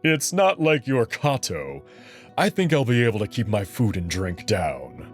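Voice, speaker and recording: Confident male alien wolf, acted by a man, teasing yet protective, formal edge, very expressive pitch, visual novel with clear backing music. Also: unhurried. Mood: teasing, defensive. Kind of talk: visual novel character acting. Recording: music behind the voice.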